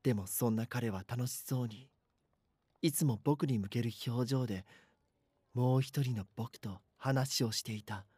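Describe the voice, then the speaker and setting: A man's voice: medium pitch, measured pace, warm tone, breathy edge, no echo. Anxious schoolboy narrator, acted by a man, dry recording. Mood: tense, uneasy. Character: anxious, bashful schoolboy narrating his own eerie story. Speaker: voice actor. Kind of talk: Japanese voice drama, first-person narration and dialogue.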